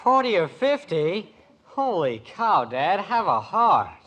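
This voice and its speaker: An actor playing a lazy, put-upon teenage son who whines and complains in a 1950s radio sitcom. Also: male voice, high-pitched, very animated, fairly bright tone, full character voice. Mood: weary, complaining. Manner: whining, drawn-out complaining. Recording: noisy vintage radio recording.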